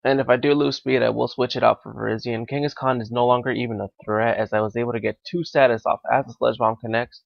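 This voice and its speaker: A young man, slightly hoarse and raspy from being sick, giving live commentary on a competitive game and thinking out loud with frequent fillers. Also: mid-range voice, steady tone, quick pace, hardly any pauses, no echo, dull audio. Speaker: gaming commentator.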